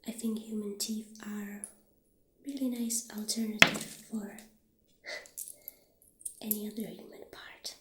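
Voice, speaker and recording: A woman speaking softly and breathily, often near a whisper, gently playing a friendly witch shopkeeper in an ASMR roleplay. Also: mid-range voice, level tone. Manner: gentle, unhurried, halting. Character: friendly witch shopkeeper showing potion ingredients. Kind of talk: soft-spoken ASMR roleplay. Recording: roomy echo, faint background noise.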